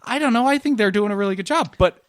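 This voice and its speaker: Man, podcast co-host, slightly high voice, lively swooping intonation, sarcastic, casual gaming banter. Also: fast, dry recording, clean studio sound. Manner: sarcastic, profane, joking.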